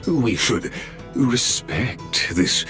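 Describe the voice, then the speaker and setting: An actor playing a stately, calm statesman of a fantasy council, speaking in formal, measured oratory for a game. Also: medium pitch, exaggerated voice. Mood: composed, grave. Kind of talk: theatrical fantasy game voice acting. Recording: loud orchestral music behind.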